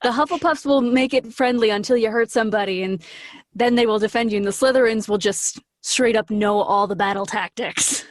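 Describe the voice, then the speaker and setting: A woman's voice: fairly high pitch, a little fast, no echo, studio quality. An enthusiastic, bubbly woman chatting casually as an interview guest. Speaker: podcast guest, voice actor.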